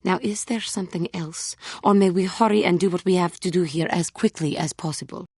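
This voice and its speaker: A woman voicing an earnest, troubled young female Jedi warrior in a video game, speaking formally and with measured care in a husky voice. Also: fairly low pitch, a little mellow, no room sound, studio quality. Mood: disdainful. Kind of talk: scripted game character dialogue.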